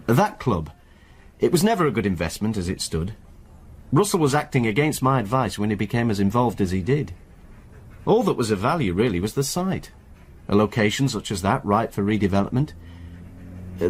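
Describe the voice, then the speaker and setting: An actor playing a guarded, courteous financial adviser in a radio drama, formal and defensive. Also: male voice, mid-range voice, very animated, moderate pace, breathy edge. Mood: explaining. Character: guarded, courteous male professional adviser under questioning. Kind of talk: modern radio drama acting.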